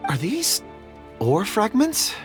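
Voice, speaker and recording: A man voicing an earnest, observant investigator companion in a video game, speaking in an expressive, thoughtful way. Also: high voice, slow pace, heavily acted. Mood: curious, solemn. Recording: game music behind voice.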